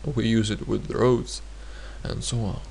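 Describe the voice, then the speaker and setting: A gravelly, lower-pitched man explains grammar at an unhurried crawl. He halts often on filler sounds and says each example sentence more than once. A faint hiss sits under the recording. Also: flat delivery, a little mellow. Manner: hesitant, many filler pauses, repeats example sentences. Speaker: language teacher.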